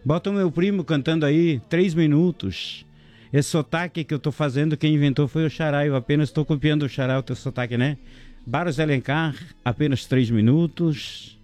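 A chatty man hosting a local radio show. His voice is dark and mellow, with soft music playing faintly behind him. He jokes around and rattles off shout-outs to listeners and sponsors. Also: a little high, moderate pace. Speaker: radio host. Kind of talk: casual local radio chat.

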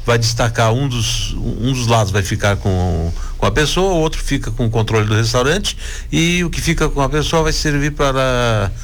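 A male club president from southern Brazil, a guest on a radio program, walking through upcoming projects. He talks in a measured, halting way, full of pauses and restarts, at an ordinary middle pitch. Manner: hesitant, many fillers and restarts, explanatory. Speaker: president of an Italian cultural club.

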